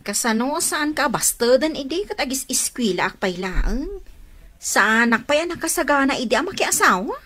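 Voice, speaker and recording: An actress with an Ilocano accent playing a coy young student being courted in a radio comedy drama. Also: female voice, high voice, very animated, moderate pace, bright, forceful tone, exaggerated voice.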